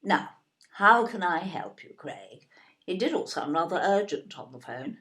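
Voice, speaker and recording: Genteel, fussy older Englishwoman, very expressive. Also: lowish voice, heavily acted. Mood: polite, chatty. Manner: polite, gushing, brisk hostess. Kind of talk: audio play acting.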